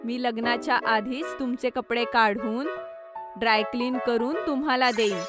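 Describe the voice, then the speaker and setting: A woman voicing a caring, gently firm mother in a children's cartoon. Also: a little high, fairly even intonation, moderate pace, lightly acted. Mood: reassuring. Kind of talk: cartoon voice acting. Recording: music under the voice.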